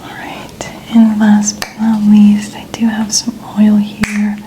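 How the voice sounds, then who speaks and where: A woman speaking in a breathy, soothing whisper, gently reassuring as she plays a chiropractor in a soft-spoken ASMR roleplay. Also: mid-range voice, monotone, slow, slight background noise, rough recording. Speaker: ASMR creator playing a chiropractor.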